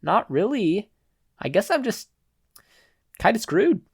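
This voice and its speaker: A narrator voicing a teenage boy hero who is cocky and playful but gently reassuring, with a casual, earnest manner. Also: medium pitch, big pitch swings, slow pace, breathy edge, no room sound, clean studio sound, pitched above the performer's own voice, heavily acted. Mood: cheeky, nonchalant. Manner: casual, nonchalant, softening to earnest.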